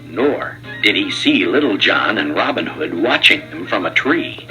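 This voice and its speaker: A man narrating a children's read-along storybook in an expressive, scripted read-aloud style. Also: low voice, measured pace, poor audio. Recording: music playing behind narration. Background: music.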